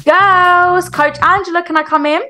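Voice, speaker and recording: A woman playing a friendly, brisk swimming coach who cheerily instructs toddlers in a game roleplay. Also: extremely high pitch, steady tone, pushed and bright, faint music behind, pitched above the performer's own voice. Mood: upbeat.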